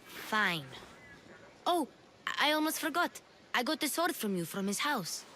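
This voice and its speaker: Video game, young urchin girl, eager, high voice, very varied pitch. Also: sing-song, unhurried, sharp tone, a little hiss or hum, full character voice. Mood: sulky, then casual.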